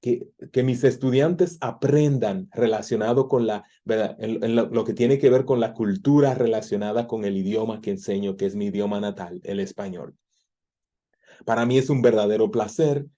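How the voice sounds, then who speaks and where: A man in his forties with a Dominican Spanish accent, his voice mellow and soft-edged. He talks earnestly and a little haltingly, restarting phrases. He introduces himself as a tutor into the camera of his home teaching office. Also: lowish voice. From Dominican Republic. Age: 40s. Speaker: language tutor. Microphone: computer camera.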